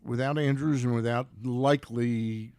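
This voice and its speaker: A man co-hosting sports radio, giving casual commentary in a halting way and repeating phrases. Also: medium pitch, fairly even intonation, a little mellow, clear, resonant tone, no room sound.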